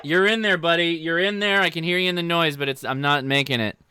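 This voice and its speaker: A man's voice: a little high, fast talker, heavy background noise. An American man hosting a casual livestream, informally joking and talking directly to viewers as he answers their questions. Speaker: livestream host.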